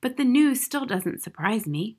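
A woman reading the part of a gentle, kindly lady teacher in a storybook, in a soft, quiet, tender manner. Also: fairly high pitch, big pitch swings, a little slow, husky, heavily acted. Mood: calm, concerned.

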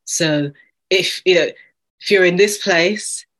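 A woman's voice: on the low side, takes it slowly, fairly bright tone, rough recording. A woman co-hosting a casual podcast chat, speaking earnestly and using vivid images. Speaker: podcast co-host.

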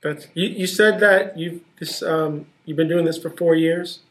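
A man's voice: a little high, fairly even intonation, measured pace, a bit bright, rough recording. A man hosting a podcast who asks questions eagerly and often repeats words as he starts his sentences. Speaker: podcast host. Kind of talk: casual interview hosting.

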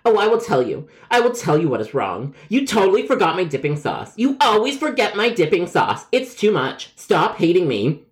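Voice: mid-range voice, big pitch swings, normal speed, lightly acted. A man voicing a whiny, melodramatic male customer with a grievance in a comedic phone story. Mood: wounded, whining. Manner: melodramatic, whining, yelling.

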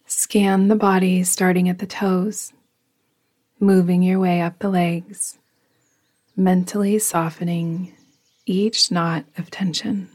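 Slow, hushed woman guiding meditation. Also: on the low side, little pitch movement. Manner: soft, breathy, hushed delivery trailing into whispers. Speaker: yoga nidra guide. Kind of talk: guided meditation, slow and soothing.

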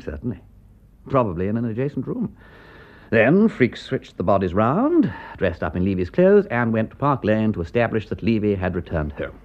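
An actor playing an aristocratic English gentleman detective in a radio drama, with an upper-class English accent and a witty, breezy, urbane manner. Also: fairly low pitch, huge pitch swings, moderate pace, highs cut off, exaggerated voice. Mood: animated explaining. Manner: aristocratic, breezy, clipped period phrasing.

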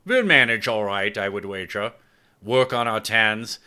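A man as an easygoing captain, bright-edged voice. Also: lowish voice, animated, measured pace, clear, resonant tone, exaggerated voice. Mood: amused. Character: easygoing, good-humored retired male spaceship captain. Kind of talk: audiobook character dialogue.

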